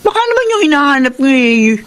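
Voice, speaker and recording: A woman's voice: high voice, sing-song, quick pace, fairly bright tone. An actress playing a chatty, encouraging female friend in a radio drama.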